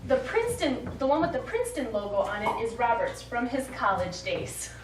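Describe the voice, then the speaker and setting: Actress as high-voiced, poised, scornful woman on stage. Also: sing-song, fairly quick. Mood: polite, controlled. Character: brisk, poised woman client, coolly scornful. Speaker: stage actor. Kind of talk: live comic one-act stage play acting. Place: small theatre studio stage. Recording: echoey stage from far off, faint noise.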